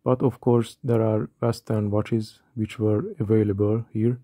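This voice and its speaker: Hungarian accent, man, thirties, mellow low voice, flat delivery, calm narration. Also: unhurried, dry recording, studio quality. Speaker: watch collector. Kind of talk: scripted voice-over narration.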